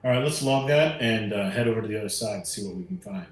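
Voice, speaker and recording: Low-voiced man in a roomy space, gaming. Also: fast talker. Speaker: tabletop game player. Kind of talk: casual game-table chat. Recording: roomy echo, faint music behind.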